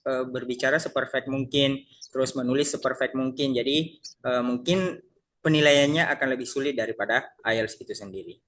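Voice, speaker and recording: A man's voice: medium pitch, a bit bright, a little hiss or hum. A man teaching a casual class, talking to his listeners as friends and often stopping to restart his sentences. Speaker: IELTS teacher. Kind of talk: casual online class lecture.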